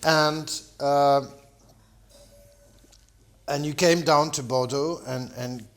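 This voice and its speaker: A man from France, a champagne producer telling stories in a rambling, animated way, full of restarts and "you know". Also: fairly high pitch, slow. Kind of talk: storytelling in casual conversation.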